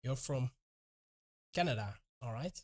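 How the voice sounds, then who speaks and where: A Dutch man, a native Dutch speaker, chatting casually in English with his chat while streaming a game, relaxed and often chuckling. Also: medium pitch, a little slow. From Netherlands.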